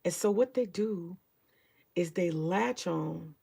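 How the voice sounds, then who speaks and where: Deep gravelly-voiced woman, unhurried. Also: warm tone. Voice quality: deep morning voice. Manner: rambling, swears freely, mutters to herself while searching. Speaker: vlogger sharing her book collection. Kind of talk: casual home vlog chat.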